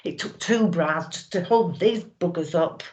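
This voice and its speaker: Woman acting a brazen, slow-talking middle-aged widow. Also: on the low side, close to an everyday voice. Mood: wry. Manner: knowing, suggestive, with drawn-out pauses. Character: brazen, wistful middle-aged widow, former man-magnet. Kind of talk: theatrical dramatic monologue.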